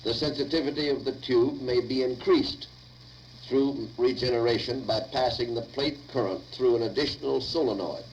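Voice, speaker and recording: A man dictating lecture notes aloud in a deliberate, formal way, recorded on 1950 reel-to-reel tape. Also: medium pitch, highs cut off, a little hiss or hum.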